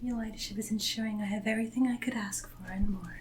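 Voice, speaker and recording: A woman playing a devoted, deferential Regency lady's maid in a soft-spoken, often whispered ASMR roleplay, with formal, gentle speech. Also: mid-range voice, fairly even intonation, fast talker, a little hiss or hum, close to an everyday voice. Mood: devoted, gently reassuring.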